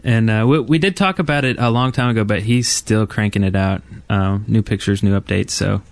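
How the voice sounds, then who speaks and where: A man's voice: fairly low pitch, a little fast, gravelly, no room sound. A man co-hosting a podcast, talking informally with the others. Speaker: podcast co-host. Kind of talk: casual group podcast chat.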